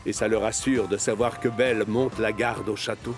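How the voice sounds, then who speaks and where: A man's voice: fairly low pitch, fairly even intonation, normal speed. A man narrating a scripted children's story as the storyteller of a cartoon. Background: music.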